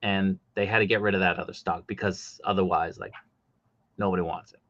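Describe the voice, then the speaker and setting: A man's voice: sits low, steady tone, fairly quick, fairly bright tone, no room sound, highs cut off. A man hosting a casual chat, thinking aloud and weighing theories. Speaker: host. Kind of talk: casual chat about toy collecting.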